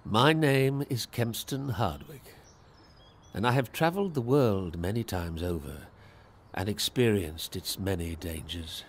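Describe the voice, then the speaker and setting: An actor playing a worldly, measured male sleuth, narrating an audio drama in a slow, deliberate storytelling manner. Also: fairly low pitch, slight background noise. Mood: grave, reflective.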